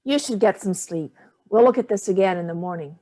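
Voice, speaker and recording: A woman's voice: mid-range voice, a little fast, dark tone, close to an everyday voice. A woman playing a guarded, sardonic older mother in a staged play reading, speaking in a dry, clipped way. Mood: dry, resigned.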